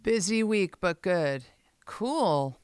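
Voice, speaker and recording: A woman with a low voice chatting enthusiastically with viewers while testing bass gear, often breaking off mid-thought. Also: expressive, takes it slowly. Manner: chatty, enthusiastic, self-interrupting. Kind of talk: casual chat with live viewers. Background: loud bass guitar playing.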